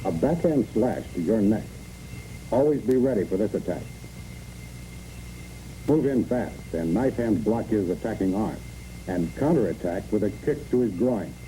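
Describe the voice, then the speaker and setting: A man's voice: fairly low pitch, animated, normal speed, long pauses, dark tone, slight background noise. A man reads scripted instructional narration for a training film in clipped, imperative phrases, on a muffled old film recording.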